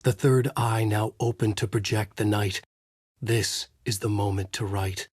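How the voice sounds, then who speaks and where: An actor playing a haunted male horror writer who narrates in a measured, brooding way in a dry studio booth. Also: on the low side, steady tone, darkish tone, breathy edge, no echo. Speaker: video game character narrating. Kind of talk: game voice lines, dark narration. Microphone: studio mic.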